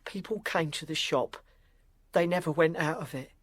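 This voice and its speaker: A woman playing a frightened young apprentice boy, timid, halting and deferential, in a period audio drama. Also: deep voice, husky. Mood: anxious.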